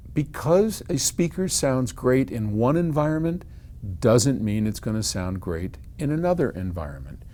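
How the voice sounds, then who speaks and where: A man's voice: medium pitch, a little mellow. Older American man, frank and conversational. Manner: conversational and frank, with folksy emphasis. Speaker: company head answering customer questions in a video series. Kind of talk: casual explanatory talk to camera.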